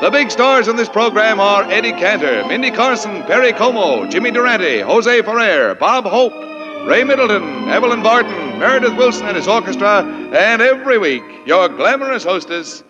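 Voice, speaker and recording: A man's voice: high voice, big pitch swings, normal speed. A man announcing a 1950s radio variety program in a polished, emphatic style. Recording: muffled old radio, loud orchestra. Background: loud music.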